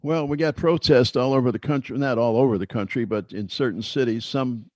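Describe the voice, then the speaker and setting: A man's voice: medium pitch, brisk, darkish tone, no echo. A man in his seventies co-hosting a talk show, blunt and emphatic in short declarations. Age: 70s. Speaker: co-host. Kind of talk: casual debate on a talk show.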